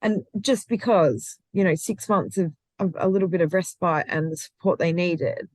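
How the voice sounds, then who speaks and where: A woman's voice: on the low side, moderate pace, darkish tone, no room sound. Passionate woman, dry sound. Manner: passionate, restarts often, laughs easily. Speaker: guest, recruitment founder. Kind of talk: conversational interview.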